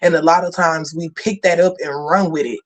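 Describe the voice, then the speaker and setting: A man's voice: fairly high pitch, steady tone, brisk. A man hosting a community radio talk show, chatting in a conversational, affirming way. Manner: conversational, affirming, lots of "you know". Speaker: host.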